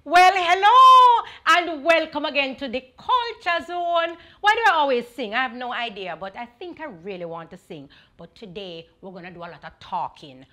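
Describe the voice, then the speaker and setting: A woman's voice: high-pitched, bright, forceful tone. A Jamaican woman hosting a culture show, speaking to her audience in an exuberant, theatrical way with sing-song emphasis. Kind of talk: TV presenting to audience.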